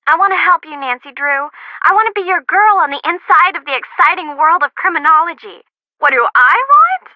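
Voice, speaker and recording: A cheeky student sidekick in a detective game. Her high, theatrical voice swings widely in pitch, breezy and wry, through a dull phone filter. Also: big pitch swings, a little fast, dry recording. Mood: playful, teasing. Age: young adult. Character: chatty, cheeky young female criminology student sidekick. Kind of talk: video game character acting over the phone. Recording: muffled phone-like sound.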